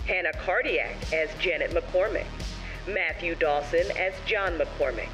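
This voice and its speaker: A male announcer reads scripted show credits. His voice is slightly high, and music plays clearly behind him. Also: a little slow, poor audio. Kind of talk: scripted narration.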